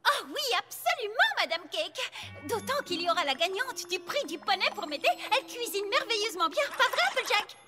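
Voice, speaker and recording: A bubbly young cartoon pony baker. Extremely high and squeaky, the voice bounces around eagerly in a chirpy gush, over loud music. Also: female voice, sing-song, a little fast. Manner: chirpy, gushing.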